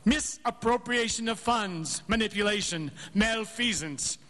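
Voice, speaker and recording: A sharp, high-pitched, scornful old tycoon. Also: male voice. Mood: scornful, gloating. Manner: scornful, theatrical, deliberate emphasis. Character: cruel, gloating rich old man, vengeful and scornful. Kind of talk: live radio drama acting. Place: theater stage, live broadcast. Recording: reverberant stage hall with background noise.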